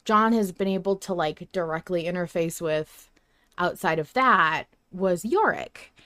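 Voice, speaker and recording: Woman podcast co-host, lowish voice, dry sound, emphatic and casual, loose with swearing. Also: a little slow, fairly bright tone, no echo. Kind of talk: casual fan discussion chat.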